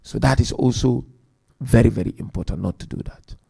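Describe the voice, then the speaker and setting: A man giving a Muharram religious lecture, counting off numbered points, chanting Quran verses quickly, and swinging between loud emphasis and quiet asides. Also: medium pitch, vocal fry, slightly rough. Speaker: Muharram majlis speaker.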